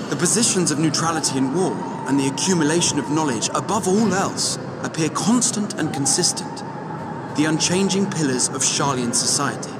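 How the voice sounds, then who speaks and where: Man playing a lively, eloquent scholar addressing a council. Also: fairly high pitch, heavy background noise, close to an everyday voice. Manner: articulate, formal, deliberate. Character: scholarly, measured young male catfolk investigator addressing a council. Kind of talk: dramatic video game dialogue.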